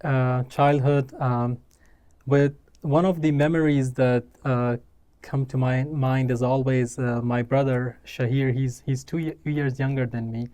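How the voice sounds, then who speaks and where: Mellow-voiced man recounting his youth. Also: mid-range voice, level tone. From Afghanistan, raised in Pakistan. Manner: measured, with frequent fillers and restarts. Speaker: guest. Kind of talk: conversational interview. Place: small dim studio room, seated in armchair.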